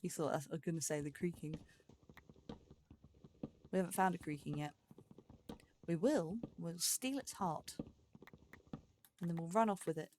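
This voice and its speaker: A woman's voice: lowish voice, measured pace, pauses often, a little mellow, slight background noise. A woman giving casual gameplay commentary as host, thinking aloud in short, broken phrases and playfully talking to herself. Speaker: let's play host.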